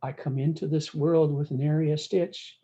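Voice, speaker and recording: A woman's voice: low-pitched, fairly even intonation, normal speed, dark tone, lo-fi sound, close to an everyday voice. A woman voicing a humble, steadfast cowboy at prayer in a storybook, resigned and plain-spoken. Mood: resigned, faithful.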